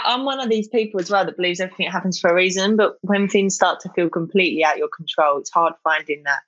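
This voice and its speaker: Chatty south east london girl, lowish voice, kinda muffled dry sound, lots of ums. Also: no room sound. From England. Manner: chatty, many fillers and restarts. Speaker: interview guest, wrestler. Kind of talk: casual video interview.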